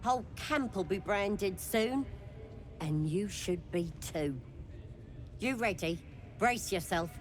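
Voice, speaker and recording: Slow, sly goblin priestess. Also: female voice, medium pitch, expressive. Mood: zealous, coaxing. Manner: coaxing, rough-spoken zealot. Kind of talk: video game acting.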